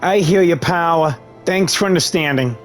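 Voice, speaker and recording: A man's voice: a little high, normal speed, soft background music. A man giving casual gameplay commentary as a gaming host, chatting back to the characters on screen.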